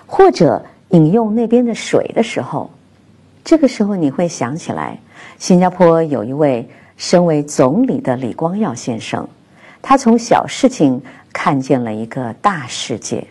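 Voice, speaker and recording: A woman hosting a programme, narrating scripted commentary in a measured storytelling way. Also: deep voice, big pitch swings, slightly rough. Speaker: radio programme host. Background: soft instrumental music.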